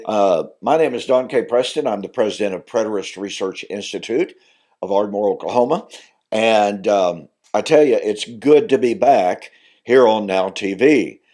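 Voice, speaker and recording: A man's voice: lowish voice, expressive, moderate pace, warm tone. An older man, speaking as a minister teaching on video, his voice weakened from recovering after losing it, earnest and growing emphatic on key points. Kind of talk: Bible teaching monologue.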